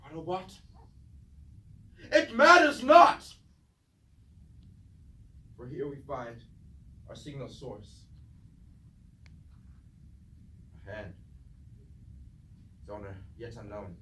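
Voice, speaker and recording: An actor playing a weary, noble old robot war leader in formal, declamatory stage-tragedy style. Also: male voice, mid-range voice, huge pitch swings, slow, pauses often, sharp tone, heavily acted. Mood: urgent, commanding. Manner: formal, declamatory, archaic phrasing. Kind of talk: theatrical Greek-tragedy stage acting. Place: indoor room, staged reading at a university. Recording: distant, echoey, noisy room recording.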